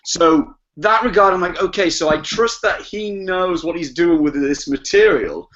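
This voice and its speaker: Higher-voiced man chatting, dull lo-fi audio. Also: lively intonation, normal speed, a bit bright. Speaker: podcast panelist. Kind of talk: casual film and game discussion.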